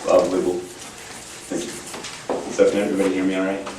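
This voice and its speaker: Deep, flat-voiced man with a cold. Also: fairly quick. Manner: hesitant, many ums. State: has a cold, slightly gravelly. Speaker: panelist from an advocacy group. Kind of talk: conference panel talk. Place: university conference room. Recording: echoey hall, distant mic, noisy.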